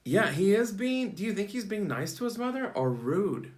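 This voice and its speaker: A man's voice: high voice, very animated, moderate pace. A man teaching young children through an interactive picture-book read-aloud, asking questions and repeating short words of praise.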